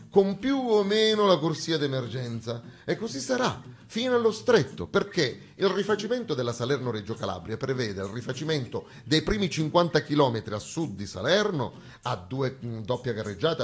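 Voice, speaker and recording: A man delivers a theatrical comic monologue with emphatic, ironic phrasing and dramatic pauses. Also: medium pitch, very animated. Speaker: stage actor performing a satirical monologue.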